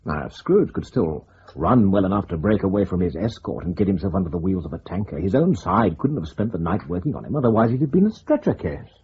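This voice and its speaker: An actor playing an unruffled senior spymaster in a radio drama, reasoning with calm authority. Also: male voice, low voice, fast talker, few pauses, slightly rough, heavily acted. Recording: dull lo-fi recording.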